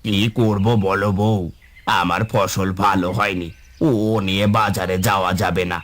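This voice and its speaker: A man's voice: sits low, little pitch movement, normal speed, a bit bright. A man playing a weary, worried village farmer and husband in a cartoon, with a plaintive manner. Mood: despairing. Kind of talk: cartoon voice acting.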